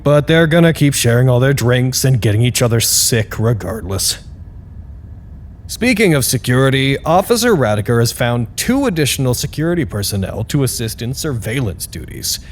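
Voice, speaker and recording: A man's voice: medium pitch, slight background noise, lightly acted. A man playing an earnest, candid site staffer in an audio drama, speaking a reflective and wryly formal log to unseen superiors.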